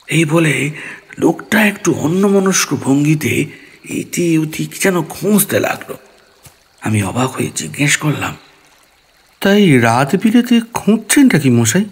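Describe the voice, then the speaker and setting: A man's voice: on the high side, sing-song, measured pace, husky. A man narrating a ghost story in the first person, dramatically, acting out his own dialogue.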